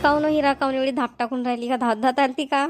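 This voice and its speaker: A woman's voice: sits high, fairly even intonation, fast talker, soft background music, lightly acted. A woman playing a friendly, inquisitive village neighbour in a cartoon comedy, chatting in Vidarbha Marathi. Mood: curious, agreeable. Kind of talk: amateur cartoon comedy dialogue.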